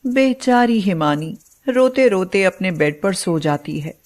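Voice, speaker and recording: Female storyteller, medium pitch, slow scripted narration. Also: lively intonation, darkish tone.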